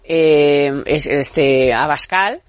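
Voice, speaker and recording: A woman's voice: deep voice, drawn-out words, no echo. A woman hosting a radio talk show from a studio, talking in an emphatic, colloquial and sarcastic way.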